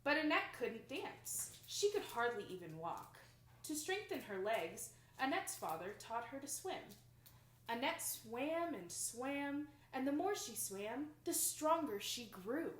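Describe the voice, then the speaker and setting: A young woman reads a children's picture book aloud with expressive, dramatic emphasis, recorded in a small room indoors. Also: sits high, a little slow, slight background noise. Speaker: storybook reader. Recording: roomy home recording.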